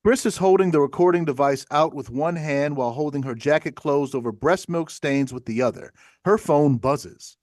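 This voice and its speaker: A man's voice: on the high side, moderate pace, a little mellow, no room sound. Brief: man reading stage directions, upper-middle register, dry close recording, scripted narration. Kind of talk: scripted narration for a play table read.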